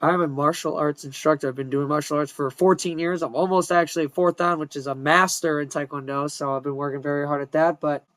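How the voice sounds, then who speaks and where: A man in his twenties co-hosting a casual podcast from home, upbeat and jokey with loud bursts. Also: fairly high pitch, steady tone, fast, few pauses, no room sound. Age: 20s. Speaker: podcast co-host. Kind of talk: casual chat. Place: home room.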